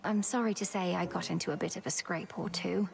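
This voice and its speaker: A woman's voice: fairly low pitch, fairly even intonation, a little fast, creaky. A woman playing an earnest, dutiful young witch princess in a video game, speaking formally and with determination. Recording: music underneath.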